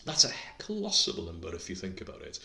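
Bright-voiced man, chatty podcaster. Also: sits low, huge pitch swings, fast talker, creaky. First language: Welsh. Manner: chatty, enthusiastic, reeling off facts and figures. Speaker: podcast co-host. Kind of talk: casual podcast chat.

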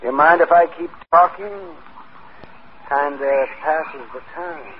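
A man's voice: on the high side, fairly even intonation, slow. An actor playing a vague, rambling spaceman adrift, heard through a radio filter in an old drama. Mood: dazed, forlorn. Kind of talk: 1950s radio drama acting. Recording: tinny, noisy old radio transmission.

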